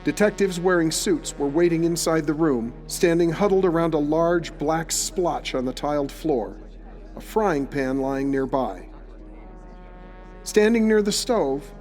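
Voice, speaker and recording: A man's voice: on the high side, unhurried, a little mellow. A man reading scripted, descriptive story narration for an audio drama, like a storyteller. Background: music.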